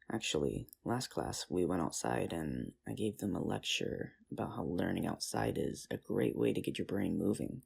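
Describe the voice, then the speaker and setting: A low, heavily creaky voice acted out for an ASMR roleplay of an earnest, nerdy teacher on a tentative date. The delivery is husky and intimate, rambling along with hesitant, self-deprecating charm. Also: a little mellow, exaggerated voice. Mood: pleased, earnest. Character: nerdy, earnest high school teacher nervously courting a date.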